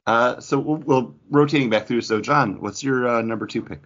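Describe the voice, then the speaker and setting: A man's voice: medium pitch, moderate pace, a bit bright. A man co-hosting a podcast, talking in a casual, conversational style. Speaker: podcast co-host. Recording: dull, band-limited podcast audio.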